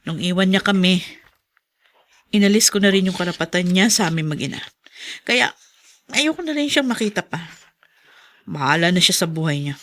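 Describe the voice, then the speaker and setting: A woman's voice: on the low side, expressive, moderate pace, pauses often, fairly bright tone. An actress playing a bitter, resigned, ailing mother in a radio drama, world-weary and emphatic. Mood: bitter, dismissive. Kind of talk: Filipino radio drama acting.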